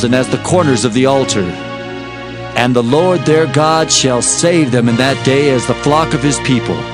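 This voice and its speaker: An actor playing a fervent biblical prophet, declaiming solemn oracles in a dramatized scripture reading. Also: male voice, mid-range voice, lightly acted. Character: fervent male Old Testament prophet proclaiming oracles. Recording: music behind the voice.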